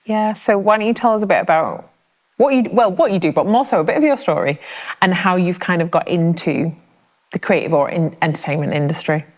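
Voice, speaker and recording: A woman hosts a relaxed podcast conversation. Her voice sits a little low. She is encouraging and fills the gaps with soft agreement sounds. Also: a little fast. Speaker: podcast host. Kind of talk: casual podcast interview.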